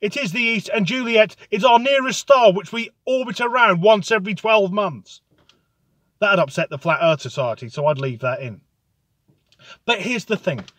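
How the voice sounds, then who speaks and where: Male comic ranter, sarcastic and deadpan, slightly high voice, dry close sound. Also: moderate pace, no room sound. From United Kingdom. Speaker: comedic ranter. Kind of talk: sardonic comic monologue.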